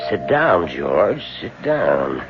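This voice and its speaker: A man's voice: sits low, sing-song, extremely slow, over loud music, heavily acted. A man playing a considerate, formal and hesitant senior law-firm boss in a 1970s radio drama. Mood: tactful concern. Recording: dull, noisy old radio.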